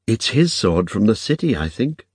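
Man voicing an earnest young woman, pitch lifted. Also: big pitch swings, moderate pace, husky, no echo, clean studio sound, heavily acted. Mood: restrained.

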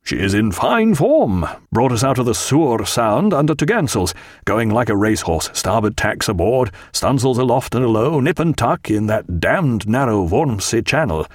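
A man's voice: mid-range voice, big pitch swings, moderate pace, no room sound, clean studio sound. A man voicing a bluff, kindly, proud naval captain with hearty nautical turns of phrase in an audiobook.

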